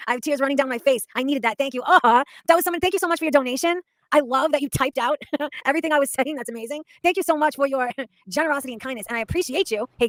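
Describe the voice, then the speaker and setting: A woman hosting a livestream, excitable and profane, laughing often as she chats. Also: sits high, no echo. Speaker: livestream host. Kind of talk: casual livestream chat.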